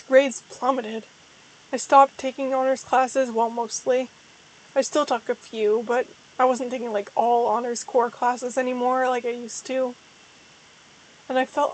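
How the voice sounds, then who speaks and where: A young woman with a hoarse, tired voice, chatting casually and rambling as a streamer answering viewer questions. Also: high-pitched, rough recording. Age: young adult. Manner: rambling, self-deprecating, frequent restarts. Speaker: VTuber streamer. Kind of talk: casual livestream chat.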